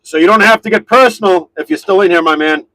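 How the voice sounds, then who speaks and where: Loud-voiced man chatting on a live stream. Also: high voice, quick pace, no echo, low-quality recording. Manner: rambling, chatty, reads and answers viewer comments. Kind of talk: casual live stream chat. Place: home garage.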